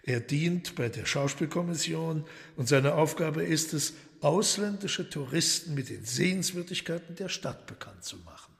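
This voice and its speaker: An actor in a German radio play voicing a suave, courteous but menacing devil lord with a ceremonious, deliberate manner. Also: male voice, on the high side, animated, creaky. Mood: smoothly courteous. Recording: long hall reverb under loud music.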